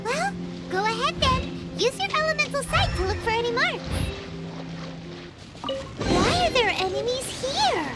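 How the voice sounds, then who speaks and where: Super high squeaky little game sidekick, bossy, game music behind. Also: female voice, very animated, a little slow, pauses often, exaggerated voice. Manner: bossy, nosy. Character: tiny floating female companion, bossy and chirpy. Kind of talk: video game cutscene voice acting.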